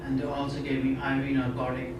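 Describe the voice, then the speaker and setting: A man's voice: mid-range voice, monotone, fast talker, very noisy. A middle-aged man from India tells his personal story at a conference as an earnest storyteller who often says "you know.". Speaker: conference speaker. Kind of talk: personal testimony talk. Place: conference hall. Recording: echoey hall, speaker far from mic.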